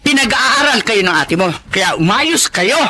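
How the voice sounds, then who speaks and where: A woman playing a stern, excitable, worried middle-aged mother in a radio drama. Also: fairly high pitch, unhurried, full character voice. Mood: angry, suspicious. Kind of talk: Tagalog radio drama acting.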